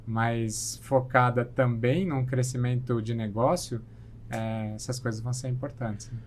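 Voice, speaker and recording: Brazilian guy as podcast guest, mid pitch, chatty explaining, bit of background noise. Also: little pitch movement, a little slow. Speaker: podcast guest, plastic surgeon. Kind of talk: conversational interview answers.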